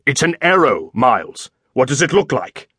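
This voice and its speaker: A man voicing a gruff, wounded English lord, exasperated, sarcastic and commanding, in a historical audiobook. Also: mid-range voice, lively intonation, moderate pace, a bit bright, dry recording, clean studio sound. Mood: curt, commanding.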